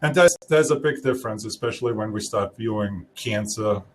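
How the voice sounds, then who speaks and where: A man's voice: on the low side, slight background noise. Austrian-accented man explaining steadily. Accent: Austrian German. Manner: explanatory, measured, some restarts. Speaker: guest speaker. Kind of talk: live-streamed talk.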